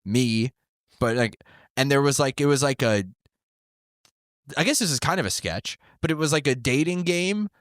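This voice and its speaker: A man's voice: mid-range voice, normal speed, long pauses, fairly bright tone, no echo, clean studio sound. A man in his thirties, a comedian, telling stories in casual chat and rambling with many fillers and restarts. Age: 30s. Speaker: comedian guest. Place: New York City.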